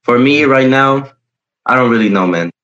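A young man with Polish as his first language answers an interviewer's questions. He talks hesitantly, with many pauses and fillers while he hunts for the right words. His voice sits at a middle pitch. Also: a bit bright, muffled recording. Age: young adult. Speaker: footballer guest.

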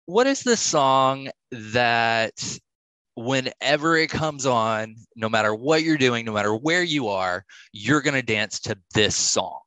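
A male podcast host with a bright, forward voice in a very dry recording. He asks his questions casually and responds in an encouraging way. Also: mid-range voice, animated, slow pace, no echo, poor audio. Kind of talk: casual interview, asking quick-fire questions.

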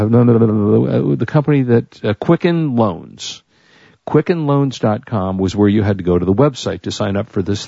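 An American man hosting a radio show in casual chat, talking in a halting, wordy way and often repeating himself. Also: on the low side, vocal fry, mellow, no room sound, lo-fi sound.

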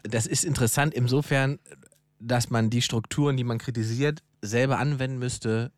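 A man's voice: mid-range voice, steady tone, normal speed, dry recording, studio quality. A man talking casually as a podcast co-host, explaining his views at length in blunt, colloquial language. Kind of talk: casual conversational discussion.

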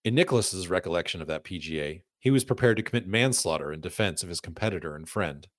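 Male narrator, somewhat deep, measured long-form storytelling for a narrative podcast, recorded very dry. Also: lively intonation, no echo. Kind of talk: scripted narrative documentary narration with read-out quotes.

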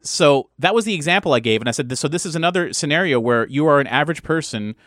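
A man talking conversationally as a game master, setting up scenarios with animated storytelling. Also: fairly high pitch, fast, no echo, studio quality. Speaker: game master, show co-creator. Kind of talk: conversational explanation of a role-playing game.

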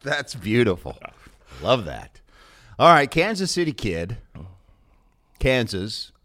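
A man's voice: medium pitch, big pitch swings, slow, fairly bright tone. A man with an American accent hosting a podcast, chatting casually and putting questions to a guest. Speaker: podcast host. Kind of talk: casual chat interview.

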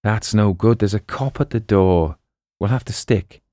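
A man voicing a brash, fast-talking book pitchman and con artist in an audiobook story, full of bombastic patter. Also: warm tone, no echo, clean studio sound, lightly acted. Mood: resigned, calculating.

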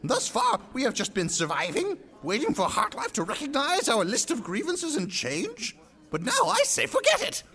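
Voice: high voice, wildly swooping pitch, moderate pace, pushed and bright, breathy edge, full character voice. An actor playing a grandiose, suspicious rebel leader of a ragtag band in an audio drama, speechifying theatrically. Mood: rousing, grandiose.

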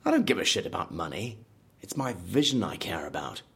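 An actor in an audio drama playing a vengeful, obsessive horror theme park designer, bitter and grandiose, with a husky voice. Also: male voice, low voice, very animated, brisk, a bit bright, heavily acted. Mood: scornful. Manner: brooding, bitter, grandiose, prone to outbursts.

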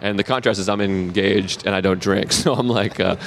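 A man in his thirties with a Boston accent, a comedian guest in a casual interview, halting and self-deprecating with frequent laughs. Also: on the low side, steady tone, slight background noise.

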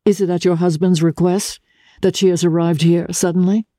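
A woman voicing an earnest, anxious young society gentleman, urgent and formal, in a dramatic reading. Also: lowish voice, little pitch movement, moderate pace, dry recording, studio quality, voice pushed up, close to an everyday voice. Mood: tense, probing.

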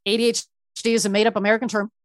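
A middle-aged woman therapist, born in Ecuador and raised in Miami, telling her story in an animated way as a podcast guest. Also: fairly high pitch, rapid-fire, dry recording, studio quality. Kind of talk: casual interview storytelling.

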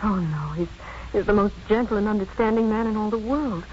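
An actress playing a distraught, vulnerable young woman patient in a 1950s radio drama, speaking in an emotional, halting way. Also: mid-range voice, a little mellow, close to an everyday voice. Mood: tearful, tender. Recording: muffled, faintly noisy 1950s radio broadcast.

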